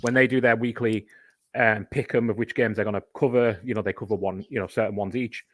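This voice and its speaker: A man's voice: fairly low pitch, steady tone, a little fast, a bit bright, no echo. A man, a podcast guest, explaining a topic in casual chat, talking at length and giving examples.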